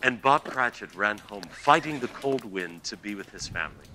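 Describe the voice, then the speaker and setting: A man's voice: lowish voice, lively intonation, normal speed, pushed and bright. A man reading scripted narration for a radio play. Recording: faint background noise.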